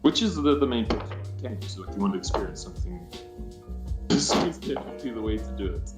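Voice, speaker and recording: A young man from South Africa with a South African accent, calling in to a radio show and chatting in a relaxed, talkative way. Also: fairly low pitch, fast talker, reverberant, music in the background. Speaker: caller. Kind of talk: casual call-in chat. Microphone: voice chat call.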